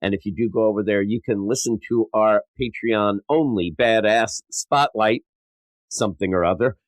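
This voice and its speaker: A sick, stuffed-up man hosting a podcast in a rambling, self-mocking way, his voice a little rough and wavering. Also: lowish voice, normal speed, dry recording, poor audio. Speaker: podcast host. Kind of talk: casual podcast monologue.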